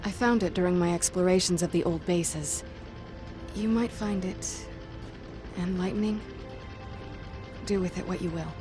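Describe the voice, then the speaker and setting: An actress as a measured, enigmatic woman explorer. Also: on the low side, steady tone, over music, lightly acted. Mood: composed, cryptic. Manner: measured, deliberate, formal phrasing. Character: composed, enigmatic woman explorer offering secrets. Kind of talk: dramatic game cutscene dialogue.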